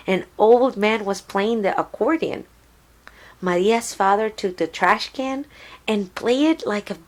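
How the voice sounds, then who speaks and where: A woman reading a children's storybook aloud in a deliberate, expressive storytelling manner. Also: mid-range voice. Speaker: storybook reader.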